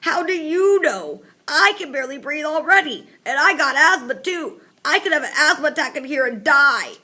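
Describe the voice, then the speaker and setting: A woman voicing a whiny teenage boy with a nasal, stuffed-up voice, fretting in a slow, drawn-out way. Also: fairly high pitch, bright, forceful tone, voice pushed up. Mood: whining. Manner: whining, panicky.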